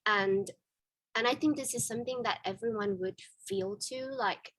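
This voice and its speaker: A woman's voice: on the high side, sing-song, moderate pace, a bit bright, husky, no room sound, low-quality recording. A young woman from Vietnam speaking English with a Vietnamese accent, chatting candidly as an interview guest with many fillers and restarts. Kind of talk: casual interview chat.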